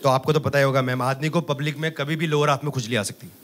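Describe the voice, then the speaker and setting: A man in his thirties performing an animated stand-up comedy set on a club stage with a handheld mic, teasing the audience. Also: medium pitch, fast. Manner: animated, gestures a lot, teases the audience. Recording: loud crowd laughter and noise. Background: audience laughter.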